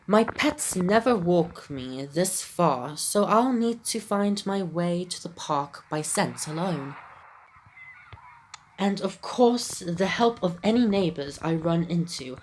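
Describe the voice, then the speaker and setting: Devoted, proper Dalmatian dad from a video game, read plainly and fairly low by a woman with a phlegmy throat. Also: lively intonation, takes it slowly, darkish tone, slight background noise. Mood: earnest. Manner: reads game dialogue aloud in a plain voice.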